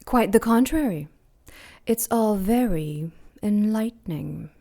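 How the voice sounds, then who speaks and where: A woman playing a vengeful supernatural villain in an audio drama, poised and probing, with quiet menace under her politeness. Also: mid-range voice, expressive, slow. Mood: cool, probing. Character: Lilith, menacing vengeful female supernatural villain posing as friendly.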